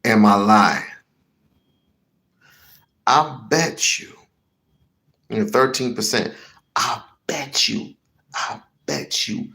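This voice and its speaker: A man's voice: lowish voice, vocal fry, fairly bright tone. A man hosting a heated commentary rant, slangy and swearing, repeating himself for emphasis. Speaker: commentary show host.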